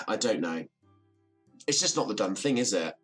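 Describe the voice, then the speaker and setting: A reserved, lonely bachelor in an audio drama. His voice is low-pitched and rough-edged. He comes across as wistful and diffident, quick to put himself down. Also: expressive, a bit bright, soft background music, heavily acted. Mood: downbeat, wistful. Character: lonely, reserved bachelor neighbour, self-deprecating, hard-working.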